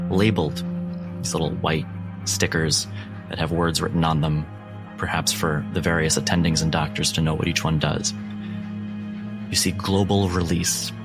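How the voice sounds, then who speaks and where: A man narrating a horror tabletop roleplay as game master, in a measured, suspenseful way with deliberate pauses. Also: deep voice. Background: background music.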